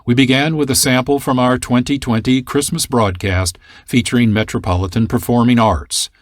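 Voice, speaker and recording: A man's voice: mid-range voice, dry recording, studio quality. A man hosting a radio program in scripted narration. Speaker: program host.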